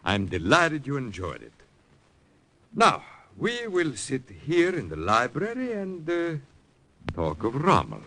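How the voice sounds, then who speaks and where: A genial older Italian nobleman with a thick Italian accent, warmly hospitable and rambling. His pitch rises and falls freely, and he pauses on fillers. It is a 1940s radio drama. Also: huge pitch swings, exaggerated voice. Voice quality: expansive, hesitant with fillers, drops into Italian. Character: jovial older Italian count, hospitable host.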